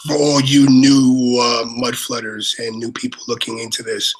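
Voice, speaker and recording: A man from Brooklyn with a New York accent, chatting casually over a video call, swearing and excitedly repeating "you know what I mean". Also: mid-range voice, normal speed, rough recording.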